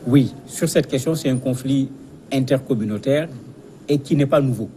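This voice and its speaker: A man's voice: medium pitch, moderate pace, dark tone, a little hiss or hum, low-quality recording. A man giving explanatory commentary as a guest analyst in a news interview, speaking didactically and repeating words as he searches for phrasing.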